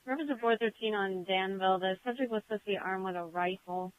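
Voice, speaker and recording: A woman's voice: mid-range voice, fast talker, no room sound. A woman working as a police dispatcher, relaying calls over a police radio. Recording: narrow, tinny police radio transmission.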